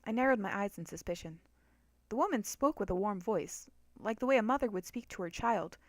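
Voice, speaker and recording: A woman reads first-person fiction aloud with expressive, dramatic delivery. Her voice sits at a medium pitch. The sound is dry and close, with no room around it. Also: fairly quick, dry recording. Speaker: narrator of a fan story.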